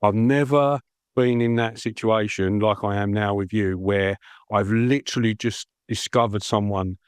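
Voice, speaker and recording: A man's voice: on the low side, fairly even intonation, moderate pace, smooth, dry recording, clean studio sound. A man hosting a podcast interview, effusive and enthusiastic, chatting in a friendly way. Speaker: podcast and radio host.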